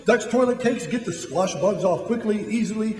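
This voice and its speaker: An actor playing an eager old-time radio sponsor announcer, emphatic and theatrical, reading in vintage commercial style. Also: male voice, high-pitched, normal speed, lightly acted. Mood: salesmanlike. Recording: echoey hall, loud music behind.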